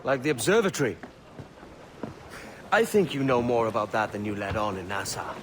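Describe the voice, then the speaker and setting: A cocky young pirate captain, talks at a middling pitch with a swaggering, flippant edge. His speech is colloquial and money-minded, performed as video game drama over faint environmental noise. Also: big pitch swings, moderate pace, fairly bright tone. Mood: roguish, defiant.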